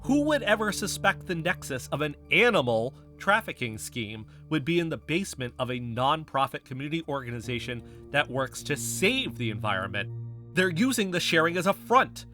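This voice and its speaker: A man's voice: a little high, sing-song, moderate pace, bright, forceful tone, soft background music. A man acting as an insistent, stubborn conspiracy theorist in an audio drama, speaking with emphatic conviction. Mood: fervent, urgent. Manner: emphatic, insistent, building speeches. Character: impassioned male conspiracy theorist, defensive and stubborn. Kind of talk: scripted audio fiction dialogue.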